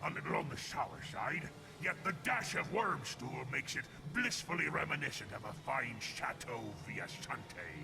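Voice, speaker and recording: An actor playing a gruff, suspicious old pirate ghost in a video game, speaking in theatrical archaic pirate talk. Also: male voice, mid-range voice, sing-song, moderate pace, few pauses, noisy background. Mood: pompous, savoring. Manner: archaic pirate speech, grandly menacing.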